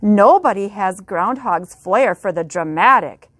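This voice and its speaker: A woman's voice: medium pitch, lively intonation, measured pace, fairly bright tone, no echo. A woman reading as an emphatic town mayor. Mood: pleading. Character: worried male town mayor.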